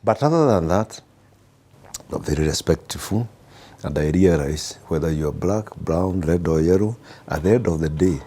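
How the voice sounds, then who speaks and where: Deep, husky-voiced Kenyan man telling stories. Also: mellow. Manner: unhurried and anecdotal, full of "uh" fillers and restarts. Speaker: guest executive. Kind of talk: storytelling in a podcast interview.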